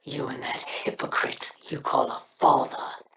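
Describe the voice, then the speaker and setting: An actress playing a proud, vengeful alien warrior woman in a video game, speaking with slow menace. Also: a bass voice, fairly even intonation, gravelly, in a whisper, exaggerated voice. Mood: menacing. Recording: roomy echo with noise.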